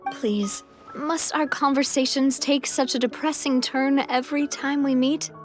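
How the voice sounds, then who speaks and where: A confident young female leader in a video game, with a high, composed voice. She speaks in a reassuring, self-assured way, with faint music underneath. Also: steady tone, a little slow. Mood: gently chiding. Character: confident, considerate young woman, proud president of a society.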